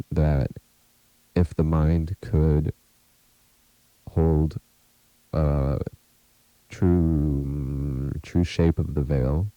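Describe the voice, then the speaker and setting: A man playing a grave, haunted researcher in an audio drama, confiding a warning in a deliberate, ominous, earnest way. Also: very deep voice, mellow, no room sound, exaggerated voice, low-quality recording. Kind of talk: scripted audio drama monologue, recorded message.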